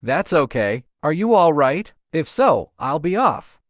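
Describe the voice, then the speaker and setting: A man's voice: a little high, very animated, unhurried, no echo. A man playing a caring, friendly young coffee shop owner in a romance comic dub. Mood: reassuring. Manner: concerned, friendly. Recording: dry voice over faint music.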